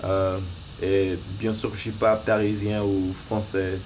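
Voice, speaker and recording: A young American man in his early twenties speaks a second language with an American accent, his native tongue being English. His voice sits fairly low and he goes slowly and haltingly, searching for words in a casual self-interview. The recording is dull and lo-fi, with a faint noise behind him. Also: level tone, darkish tone. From United States, raised in Florida. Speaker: language learner making an accent tag video. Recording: muffled lo-fi home recording with noise.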